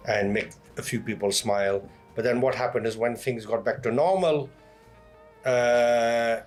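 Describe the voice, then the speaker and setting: A man with a fairly low voice and a Yorkshire accent speaks in a casual, conversational way. He is a guest in an interview, and faint music plays behind him. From Leeds, Yorkshire, England. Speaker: podcast guest, online content creator. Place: in a pub over a meal.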